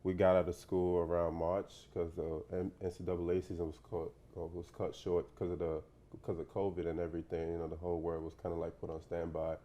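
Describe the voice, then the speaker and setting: A young man from Nigeria, a guest in a casual interview, talking in a relaxed, rambling way with lots of fillers and "you know". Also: low voice, a little fast. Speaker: professional basketball player, guest.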